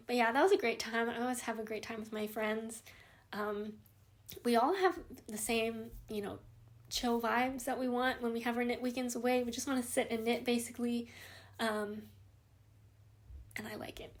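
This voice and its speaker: Young woman, from Hawaii, knitting vlog host, casual rambling chat, lots of ums, slightly high voice. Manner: rambling, relaxed, many "um"s and restarts.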